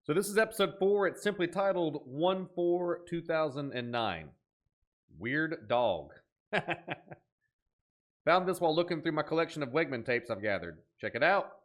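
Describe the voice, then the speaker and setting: A man's voice: a little high, normal speed. A man hosting a reaction video, talking casually and dramatically as he comments on what he watches. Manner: animated, dramatic exclamations.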